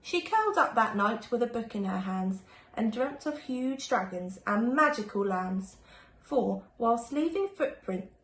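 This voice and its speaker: A woman's voice: a little high, expressive, unhurried, a bit bright. A woman reading a rhyming children's storybook aloud expressively, like a primary school teacher. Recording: echoey room, faint background noise.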